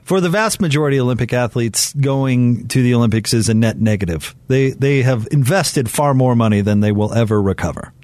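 A man's voice: mid-range voice, darkish tone, dry recording. A man co-hosting a sports radio show, talking casually and firing off questions. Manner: conversational, fires off questions, brisk station reads. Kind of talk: casual sports talk banter. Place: radio studio. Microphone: studio broadcast mic.

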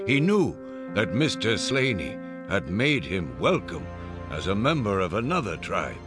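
A man narrates a radio drama in a lowish, very unhurried voice. His delivery is lively in pitch, with music playing clearly behind him. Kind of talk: scripted radio drama storytelling.

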